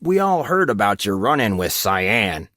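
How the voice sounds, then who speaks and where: Man acting a gruff, mocking football coach. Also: fairly low pitch, very animated, a little slow, a bit bright, no room sound, studio quality. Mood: mock concern. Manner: barking, sarcastic commands. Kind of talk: audiobook character acting.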